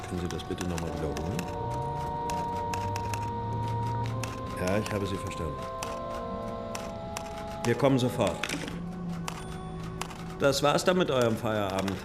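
An actor playing a sardonic, wisecracking police detective in a naturalistic German radio play. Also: male voice, fairly low pitch. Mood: businesslike. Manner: teasing, sardonic. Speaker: actor in a radio crime drama.

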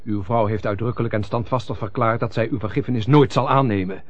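An actor in a radio drama playing a loyal, persuasive friend and go-between, speaking in earnest, formal rhetoric. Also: male voice, medium pitch, quick pace, close to an everyday voice. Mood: impassioned pleading. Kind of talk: classic European radio drama acting. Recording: dull lo-fi old radio recording.

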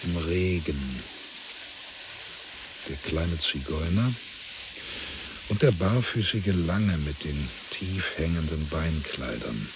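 A man narrating a literary radio drama in a measured, deliberate way. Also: rumbling low, expressive, very noisy, full character voice.

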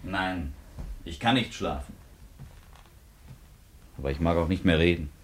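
An actor in a German radio play playing a guilt-tormented bridegroom, weary, tense and irritable, with a husky voice. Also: male voice, low voice, measured pace. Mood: weary, irritated.

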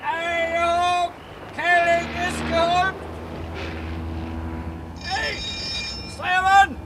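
Radio comedy casting: shouting seaman, extremely high monotone calls, noisy deck ambience. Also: full character voice. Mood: hollering. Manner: bellowing, drawn-out calls. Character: shouting sailor echoing ship's orders. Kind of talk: satirical radio play acting.

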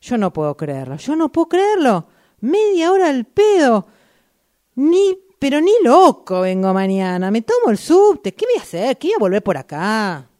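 High, swooping, exasperated Argentine commuter played by a woman. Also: wildly swooping pitch, normal speed, mellow. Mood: indignant, fed up. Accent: Rioplatense Argentine. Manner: exclamatory, indignant, swearing, repeating phrases. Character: exasperated impatient woman waiting for a late train. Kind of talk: radio drama monologue.

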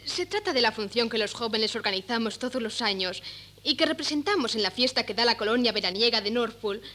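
A well-mannered young woman in old radio drama. Her voice is fairly high and crisp-edged, bright and cheerful. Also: expressive, fairly quick. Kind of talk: 1970s Spanish radio theatre acting.

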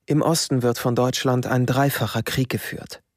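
A man plays a Nazi ministry bureaucrat who lists brutal plans in a flat, businesslike tone. His voice sits at a middle pitch, and the sound is dry and close. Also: moderate pace, dry recording, natural voice. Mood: matter-of-fact. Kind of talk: documentary radio drama reading.

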